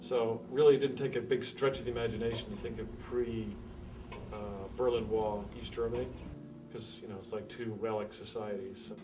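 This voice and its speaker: A man talking in a relaxed, loose way in a casual interview. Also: medium pitch, brisk. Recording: distant mic with noise.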